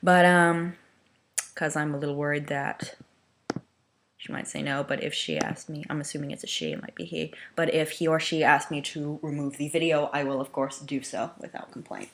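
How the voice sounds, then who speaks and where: A woman with a low-pitched voice presents an amateur dramatic reading of fan fiction. She talks casually and a little self-consciously, slipping wry remarks in as she goes. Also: steady tone, moderate pace, slight background noise.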